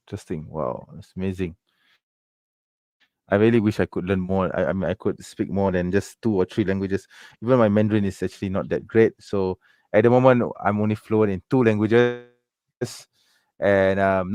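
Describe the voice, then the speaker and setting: Man, livestream host, low voice, dry close sound, keeps saying okay. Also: darkish tone, no room sound. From Malaysia. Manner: many affirming fillers, frequent "okay". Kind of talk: casual chat.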